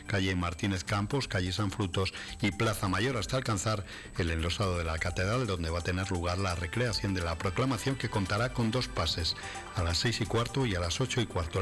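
A man reading a local radio news bulletin in a steady, formal delivery. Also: on the low side. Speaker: radio newsreader.

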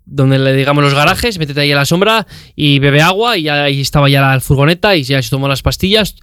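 A man, a cycling guest on a radio show, telling his ride stories in a chatty, lively way while quoting what people said. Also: fairly high pitch, fast talker, clean tone, dry recording, studio quality. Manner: chatty, animated, retells dialogue. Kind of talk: radio interview, storytelling.

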